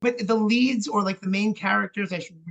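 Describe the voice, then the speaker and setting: A man's voice: high voice, steady tone, moderate pace, gravelly, fairly bright tone, lo-fi sound. A man in a casual conversation about theatre, explaining his thoughts earnestly.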